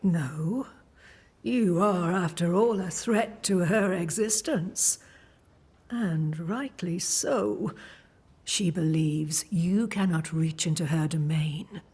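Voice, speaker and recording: An actress playing an enigmatic witch leader in a video game, breathy and measured, giving cryptic, ominous guidance. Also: fairly low pitch, darkish tone. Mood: solemn, ominous. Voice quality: breathy, husky. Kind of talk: dramatic video game acting.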